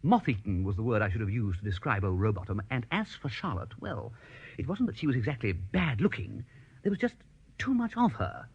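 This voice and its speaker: An actor playing an amiable, dithering young upper-class English gentleman, chummy and exclamatory, in a radio comedy drama. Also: fairly low pitch, very animated, fairly quick, breathy edge, lo-fi sound, full character voice. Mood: wry storytelling. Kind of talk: first-person narration and radio comedy acting.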